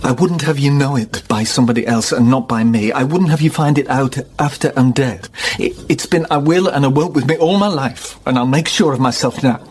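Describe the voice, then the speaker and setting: An actor playing a middle-aged gentleman husband weighed down by guilt in a radio drama, speaking gravely and haltingly. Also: mid-range voice, slight background noise, natural voice. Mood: guilty, resolute.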